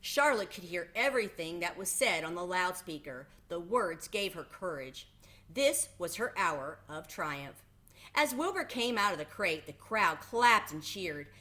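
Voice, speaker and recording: A woman reading a children's story aloud as an expressive narrator. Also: lowish voice.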